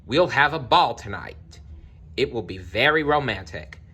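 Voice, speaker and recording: A man's voice: mid-range voice, lively intonation, a little slow, husky, pitched above the performer's own voice, full character voice. A man voicing an impatient, stubborn old king in a storybook, blustering and emphatic. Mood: insistent, excited. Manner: emphatic, shouting.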